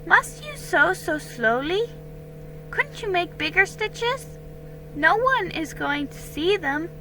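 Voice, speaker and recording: Storybook role: eager, impatient colonial girl, voiced by a woman, pleading, high-pitched, music underneath. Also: big pitch swings, takes it slowly, pushed and bright, a little hiss or hum, exaggerated voice, rough recording. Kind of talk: dramatic storybook reading.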